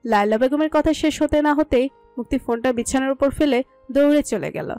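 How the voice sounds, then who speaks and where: A woman's voice: sits high, animated, fairly quick, warm tone. A woman narrating a scripted romantic story. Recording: music under the voice. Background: music.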